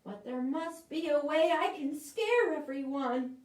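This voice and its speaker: A woman voicing a cheerful, friendly young male pumpkin with a bright, sing-song manner in a storybook. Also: high-pitched, lively intonation, measured pace, roomy sound, far from the mic, pitched above the performer's own voice. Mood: determined.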